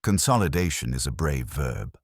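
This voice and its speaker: Tart society matron read by a male narrator: arch, formal, low voice, lively lilt. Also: normal speed, husky, no echo, studio quality, full character voice. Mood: tartly knowing. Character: prim, tartly witty society matron.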